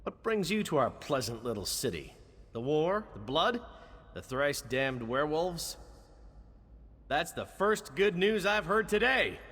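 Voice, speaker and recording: An actor playing a cocky, roguish young guard captain in a fantasy video game, with a wry, swaggering delivery. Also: male voice, on the high side, very animated, unhurried, fairly bright tone, husky, in a large hall, exaggerated voice. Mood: wry, flippant.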